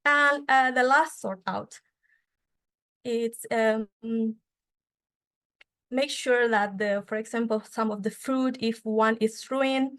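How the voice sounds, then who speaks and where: A woman's voice: a little high, fairly bright tone, clear, resonant tone. Woman presenting with hesitant fillers. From Indonesia. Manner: halting, many fillers and restarts. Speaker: student association speaker. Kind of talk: conference presentation.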